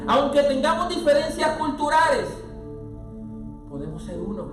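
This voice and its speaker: High-voiced preacher in an echoey hall. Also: male voice, recorded from a distance. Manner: preaching with swells into shouted, sung-out phrases. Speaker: pastor. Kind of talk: sermon. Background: music underneath.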